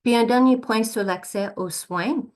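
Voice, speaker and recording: A woman researcher giving an academic presentation, talking through slides in a measured way with frequent hesitations and self-corrections. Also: fairly high pitch. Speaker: researcher presenting study results.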